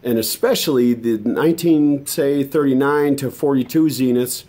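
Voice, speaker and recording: A man's voice: medium pitch, normal speed, dark tone. An American man chatting casually to viewers as a hobbyist host, in a folksy, conversational way. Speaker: hobbyist radio restorer and video host. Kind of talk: casual vlog chat to viewers.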